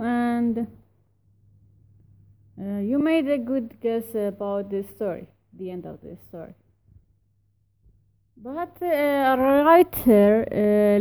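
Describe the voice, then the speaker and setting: A woman giving a reflective spoken reply, talking in a halting, careful way and pausing often to find her words. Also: medium pitch, dark tone. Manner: reflective, thoughtful questioning. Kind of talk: recorded spoken reply about a story.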